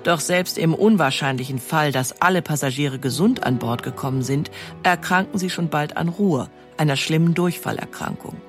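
A woman's voice: sits low, moderate pace, hardly any pauses, soft background music. A woman giving scripted documentary narration as the narrator of an audio feature.

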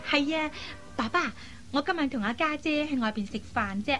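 A lively young woman in a radio drama. Her voice sits high and she talks in a chatty, coaxing way, headstrong and full of expressive particles. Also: normal speed, over music. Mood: cheerful. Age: young adult. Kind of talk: Cantonese radio drama acting.

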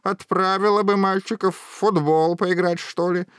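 A man voicing a cranky, bossy old witch woman with a shrill, croaky voice in a storybook tale. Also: fairly even intonation, normal speed, a bit bright, no room sound, studio quality. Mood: bossy, scolding. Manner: grumpy, scolding, blunt.

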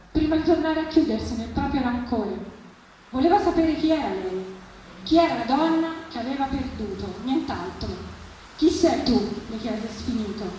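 A woman's voice: fairly high pitch, a little mellow. A woman giving a dramatic reading of literary fiction at a live event. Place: live public reading at a festival, picked up from afar. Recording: very echoey, distant microphone, faint noise.

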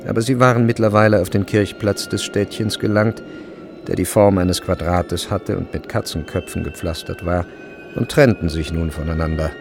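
A deep-voiced man as the narrator of a period radio play about school life. His delivery is measured and deliberate, with a low, creaky grain to the voice. Faint instrumental music runs behind him in the studio. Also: fairly even intonation. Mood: measured, observant. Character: third-person narrator of a turn-of-the-century school story. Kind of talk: literary radio drama narration.

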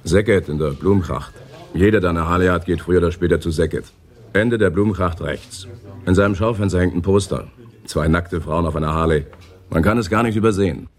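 Very deep-voiced laconic biker. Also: male voice, a little fast. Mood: laconic, proud. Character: laconic proud biker, male car mechanic. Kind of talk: German radio crime drama acting.

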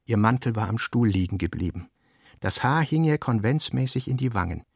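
A male narrator with a medium-pitched, creaky voice reads literary prose in a dry, close recording. His phrasing is measured and deliberate. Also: expressive, no echo.

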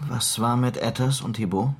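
An actor playing a calm questioner in a Western radio drama in German, prompting quietly and in measured phrases. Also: male voice, medium pitch, a little hiss or hum, natural voice. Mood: calm, probing.

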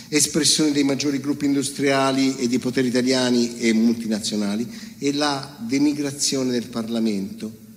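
A man's voice: medium pitch, in a large hall. A man reading out a political speech in a solemn, indignant, declamatory way. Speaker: reader.

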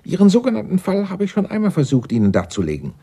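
Husky, sneering, pompous male suspect. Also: high voice, huge pitch swings, fast, warm tone, heavily acted. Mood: indignant. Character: arrogant, condescending, indignant male murder suspect. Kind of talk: radio drama acting.

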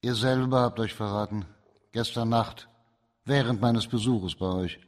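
A male actor as a shrewd magistrate in a radio crime play. He speaks at a middling pitch in a measured, formal way. He sets out his reasoning step by step, composed and methodical. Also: lightly acted. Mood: calm, probing. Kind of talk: German radio play acting.

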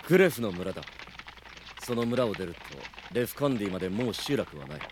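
A noble knight commander, played by a man, speaks in a low voice with clear ambient noise behind. He is formal, terse and authoritative, in fantasy radio-drama style. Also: expressive, brisk, close to an everyday voice. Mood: solemn. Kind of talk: Japanese audio drama acting.

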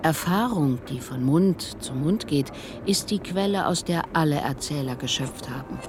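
A woman's voice: sits low, animated, moderate pace, noisy background. A woman narrator giving a scripted, literary recitation for a radio piece.

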